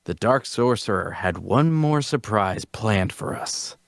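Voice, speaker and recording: Grandiose, self-important fantasy adventurer. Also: male voice, lowish voice, expressive, unhurried, gravelly, no room sound, studio quality. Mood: grave, dramatic. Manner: grandiose, theatrical storytelling. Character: self-important male adventurer spinning a heroic tale. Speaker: actor at a table read. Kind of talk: dramatic fantasy dialogue reading.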